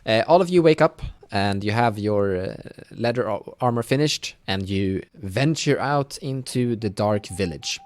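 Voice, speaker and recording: A man's voice: fairly low pitch, expressive, measured pace, few pauses, no room sound, clean studio sound. A man acting as game master, improvising narration for a tabletop roleplaying game in a hesitant, repetitive way.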